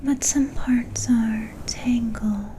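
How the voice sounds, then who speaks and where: A woman playing an enigmatic, all-knowing vampire seer in a whispered ASMR roleplay, calm and ominous, speaking in cryptic, deliberate phrases with long pauses. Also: a little high, slight background noise. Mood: mystical, soothing. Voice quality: breathy, unvoiced whisper.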